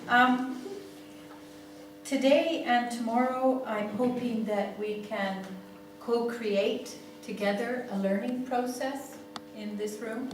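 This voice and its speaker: Unhurried Greek woman in her sixties, very echoey. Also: fairly high pitch, steady tone, faint music behind. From Greece. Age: 60s. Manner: unhurried, many "um"s, checks in with "yeah?". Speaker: seminar trainer in family therapy. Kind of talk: lecturing a seminar. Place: seminar room. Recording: very echoey room, far from mic, noisy.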